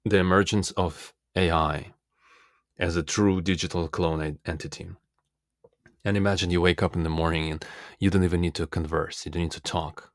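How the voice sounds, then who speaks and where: A man from Russia, a native Russian speaker, giving a reflective, philosophical self-help monologue in an earnest, deliberate manner as a coach. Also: low-pitched, steady tone, dry recording, clean studio sound.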